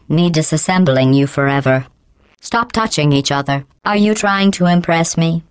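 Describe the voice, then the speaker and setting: A woman voicing a cold, sarcastic female AI in a game, with a robotic processed sound and a deadpan, mocking, passive-aggressive delivery. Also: low voice, clear, resonant tone, no echo. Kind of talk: video game voice acting.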